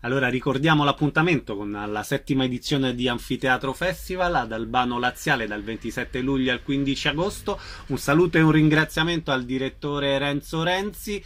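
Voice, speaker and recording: A man's voice: mid-range voice, a little fast, few pauses. A man hosting a radio arts programme, announcing events and putting questions to guests. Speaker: radio host.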